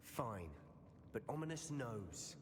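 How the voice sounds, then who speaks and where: A man's voice: medium pitch, sing-song, slow pace, noisy background. A young man playing a determined, stubborn teenage schoolboy wizard in a video game, earnest and insistent. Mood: defiant. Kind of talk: dramatic video game voice acting. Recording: long reverb, music underneath.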